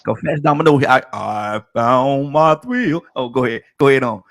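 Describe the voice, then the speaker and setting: A man hosting a call-in talk show, easygoing and chatty. His voice sits at an ordinary middle pitch, and he often stumbles and restarts his sentences. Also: lively intonation, moderate pace, fairly bright tone. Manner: laid-back, stammering restarts, frequent "man". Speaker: radio show host. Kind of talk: casual call-in show chat.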